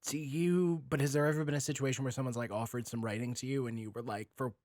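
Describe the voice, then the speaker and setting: A man in a casual podcast chat as a guest, talking in an animated, self-mocking way with free swearing. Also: mid-range voice, fast, no echo, studio quality. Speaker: podcast guest, audio drama writer and producer.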